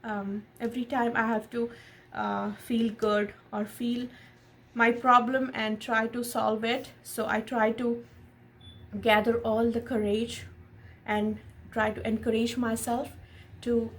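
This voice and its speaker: A woman reading a picture book aloud in an expressive storytelling style, pausing to explain and ask questions of a child and viewers. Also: a little high. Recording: noticeable background noise.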